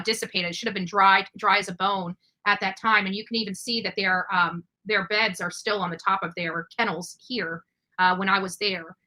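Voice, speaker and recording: A woman hosting a true-crime livestream, commenting over footage in a halting, emphatic way with repeated words. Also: mid-range voice, sharp tone, low-quality recording. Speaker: livestream host.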